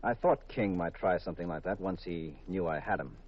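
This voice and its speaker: Deep, husky, suave radio detective. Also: male voice, brisk. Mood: easy explaining. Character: suave, wisecracking private detective husband. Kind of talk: 1950s radio acting. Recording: dull old radio recording.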